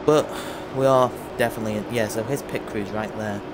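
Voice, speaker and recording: A hoarse young man giving a chatty, rambling play-by-play of a racing game. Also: on the low side, fairly even intonation, fairly quick, background noise. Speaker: gaming commentator. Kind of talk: casual racing game commentary. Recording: loud game music underneath.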